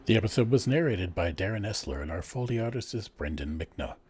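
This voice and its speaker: A man's voice: lowish voice, expressive, a little fast, darkish tone, slightly rough. A man narrating and announcing a radio drama in a scripted, theatrical serial style.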